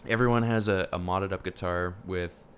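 A man's voice: low-pitched, steady tone, moderate pace, fairly bright tone, slight background noise. A man chatting enthusiastically as host, nerdy and curious, with lots of ums. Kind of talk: casual chat.

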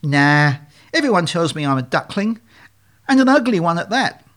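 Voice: mid-range voice, huge pitch swings, a little slow, voice pushed up. A performer voicing a naive, dim young swan who believes he is an ugly duckling, self-pitying and childlike in a storybook tale.

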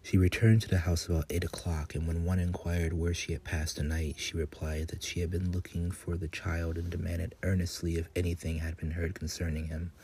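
A man giving a measured, solemn reading in the style of a gothic audiobook. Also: deep voice, level tone, mellow, slightly rough. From United States, Louisiana. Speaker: guest reader, horror writer.

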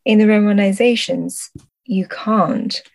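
A woman's voice: medium pitch, steady tone, slow pace, vocal fry, dry recording. A woman answering as a podcast guest in a thoughtful conversational way, often searching for words and correcting herself. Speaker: podcast guest, translator and book editor.

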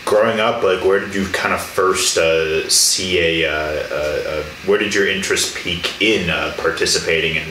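A man's voice: sits low, normal speed, hardly any pauses, sharp tone, echoey room, a little hiss or hum, poor audio. A young man hosting a podcast interview, rambling casually with lots of fillers. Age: young adult. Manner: rambling, many likes and fillers. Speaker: podcast host.

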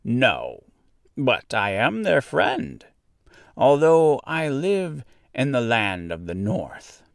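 A little old woman from a storybook, a good witch with a calm, kindly temper, acted out by a man. The delivery is slow and stretched out, swinging widely in pitch, with some creak in the voice. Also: dry recording, clean studio sound, heavily acted. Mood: proud, kindly. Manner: deliberate, stretched-out, explanatory phrasing. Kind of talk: storybook character voice.

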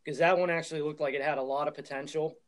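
A man's voice: fairly high pitch, fairly even intonation, very fast. A man giving blunt opinions as a panelist in a casual podcast chat.

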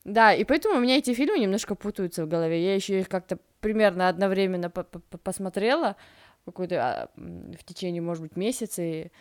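A woman's voice: fairly low pitch, clean tone. A woman co-hosting a podcast, chatting casually about a book with many fillers and restarts. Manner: hesitant, many fillers and restarts, animated when quoting. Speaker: podcast co-host.

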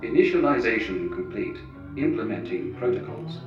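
An actor voicing a polite, helpful smart-coffin computer in an audio comedy, formal and reassuring. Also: male voice, lowish voice, animated, moderate pace, lightly acted. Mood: calmly obliging. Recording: echoing distant muffled sound under loud music.

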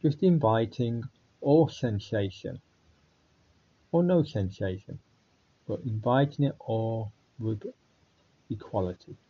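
Slow-speaking man guiding meditation. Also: on the low side, breathy edge, muffled recording. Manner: calm, unhurried, with long pauses. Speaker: meditation guide. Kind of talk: guided body scan meditation.

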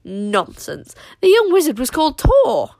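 A woman's voice: a little high, animated, a little fast, natural voice. A woman voicing a proud, scornful boy who speaks with disgust.

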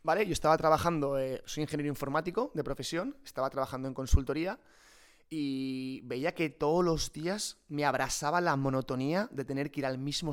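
A man with a fairly high voice and a Peninsular Spanish accent talks as a guest in an unscripted interview. He tells his stories in an informal, colloquial way. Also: smooth. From Spain. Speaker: podcast guest, startup co-founder. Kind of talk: improvised conversational interview.

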